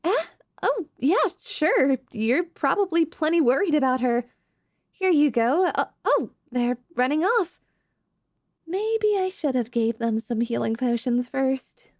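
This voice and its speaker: A woman's voice: high-pitched, sing-song, measured pace, a little mellow, no echo, clean studio sound, heavily acted. A woman voicing a chatty, perky young adventurer in a fantasy audio roleplay, talking casually. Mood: helpful, scatterbrained.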